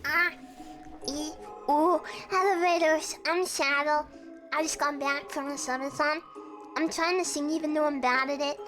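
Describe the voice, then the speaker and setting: A woman with a girlish, slightly croaky voice chatting casually on a livestream in a playful, self-deprecating way. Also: fairly even intonation, moderate pace, a bit bright. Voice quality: high, girlish voice. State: slightly croaky and sniffly from singing. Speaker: livestreamer hosting a chat and karaoke stream. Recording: music behind the voice. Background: music.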